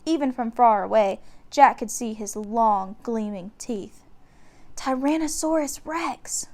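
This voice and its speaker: A woman's voice: mid-range voice, a little slow, a little mellow. A woman narrating a children's book aloud, giving the descriptions dramatic emphasis. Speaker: storyteller.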